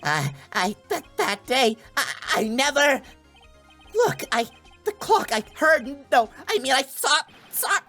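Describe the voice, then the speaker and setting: A man's voice: very high voice, very animated, slow pace, creaky, bright, forceful tone, soft background music, pitched above the performer's own voice, full character voice. A man voicing a shifty, hot-tempered male murder witness in a video game, combative and prone to stammering. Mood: panicked. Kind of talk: video game character dub.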